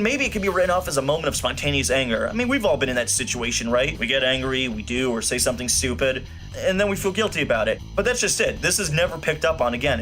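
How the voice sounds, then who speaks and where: A man with a fairly high, very animated voice hosts a scripted film review. He is opinionated and casually irreverent, with light music running behind him. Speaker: film review show host.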